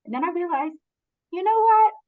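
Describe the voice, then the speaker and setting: A woman's voice: very high-pitched, animated, normal speed. Earnest middle-aged woman, slightly dull audio. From Canada. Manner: candid, earnest, motivational. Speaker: guest, business coach. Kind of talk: casual interview storytelling.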